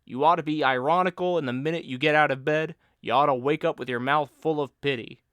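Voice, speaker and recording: A man voicing a lazy, joking friend who teases and mock-lectures in a loud, raised voice. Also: fairly high pitch, steady tone, fairly quick, smooth, dry recording, pitched above the performer's own voice, lightly acted. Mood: mock lecturing.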